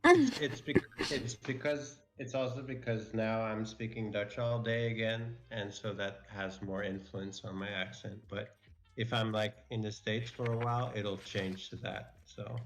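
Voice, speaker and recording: A man's voice: lowish voice, fairly even intonation, unhurried. A man talking casually and hesitantly, with frequent ums and uhs. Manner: hesitant, with many fillers. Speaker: guest. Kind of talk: casual chat.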